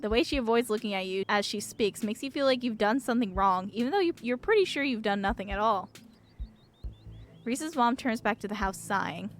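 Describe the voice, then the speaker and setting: A woman's voice: on the high side, expressive, brisk, soft background music. A woman streamer casually reading a game's story aloud, with playful, informal, sweary reactions.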